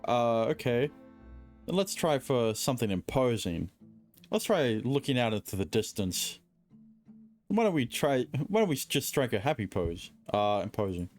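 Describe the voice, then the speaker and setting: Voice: medium pitch, big pitch swings, moderate pace, a little mellow, faint music behind. A streamer talking casually over game dialogue, hesitant and full of joking asides. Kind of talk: casual gaming commentary.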